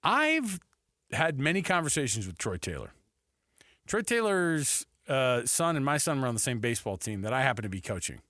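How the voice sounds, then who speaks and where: A man's voice: mid-range voice, big pitch swings, normal speed, pushed and bright, no echo. A man hosting a sports radio show, talking casually and repeating phrases for emphasis into a close studio microphone. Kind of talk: casual sports talk commentary.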